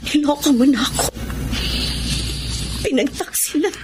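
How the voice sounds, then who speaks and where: A woman's voice: high voice, measured pace, background noise. An actress playing a betrayed, hurt woman who still loves, with emotional, dramatic radio drama delivery. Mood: bitter, hurt. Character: wounded, betrayed woman, bitter yet still loving. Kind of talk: classic Tagalog radio drama acting. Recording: loud music under the voice.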